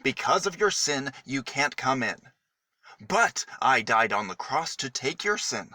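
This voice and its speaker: A man reading a children's story aloud in a dramatic, deliberate manner with emphatic pauses. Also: mid-range voice, very animated, bright, forceful tone, no room sound.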